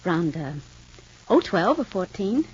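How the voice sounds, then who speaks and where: An actress playing a gentle, hesitant wife in a 1950s radio soap opera, with earnest delivery. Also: female voice, mid-range voice, very animated, measured pace, slightly rough, exaggerated voice. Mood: hesitant, wistful. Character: gentle, hesitant wife hoping to adopt an older child. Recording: dull, noisy old radio recording.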